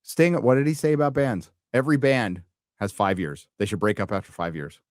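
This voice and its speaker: A man's voice: lowish voice, very animated, brisk, dry recording, clean studio sound. A middle-aged man hosting a casual live show, laid-back and swearing freely, reading donations and laughing often as he talks. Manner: laughs often mid-sentence. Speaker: podcast host. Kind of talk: casual live-stream chat.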